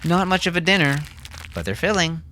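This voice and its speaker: Caring, watchful woman fugitive for audio fiction, lifted pitch, calmly practical, performed by a male narrator. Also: brisk, a little hiss or hum. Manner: placid, practical, gently teasing.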